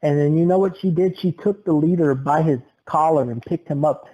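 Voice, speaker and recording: Young male podcast host, tall-tale storyteller, a bit high, mellow tone. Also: a little fast, dull audio. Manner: exaggerated tall-tale telling. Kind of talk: comic storytelling.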